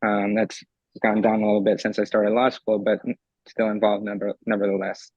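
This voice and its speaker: A young man from Eastern Pennsylvania, a convention delegate whose voice is raspy from screaming, answering eagerly with many ums in a remote interview. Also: on the low side, monotone, brisk, darkish tone, no echo, dull audio. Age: young adult. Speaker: convention delegate and law student.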